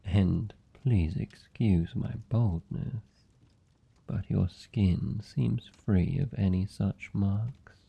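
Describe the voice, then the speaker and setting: A man's voice: on the low side, fairly even intonation, gravelly, warm tone, breathy edge. A British man playing a suave, seductive vampire lord in a fantasy ASMR roleplay, speaking formally and teasingly with commanding, predatory politeness. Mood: teasing, coaxing. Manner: teasing, formal, silky and deliberate. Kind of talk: fantasy ASMR roleplay, slow intimate monologue.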